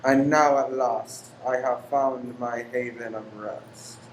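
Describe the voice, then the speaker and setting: Male actor as formal, secretive gentleman lodger, pious and weary, touchy and exacting; echoing room, faint noise. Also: mid-range voice, fairly even intonation, takes it slowly, close to an everyday voice. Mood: pious, solemn. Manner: formal, exacting, abrupt then apologetic. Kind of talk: radio play acting. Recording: roomy echo with faint background noise.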